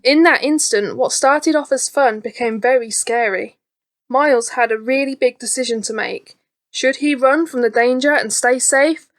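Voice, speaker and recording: A woman reading a scripted children's storybook as an audiobook narrator. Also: fairly high pitch. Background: faint background music.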